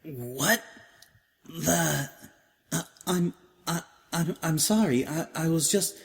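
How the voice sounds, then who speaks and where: A man's voice: a little high, gravelly, long reverb tail, voice pushed up. Man doing a stammering, flustered young mare. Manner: stammering, halting. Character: shy flustered young mare musician, apologetic.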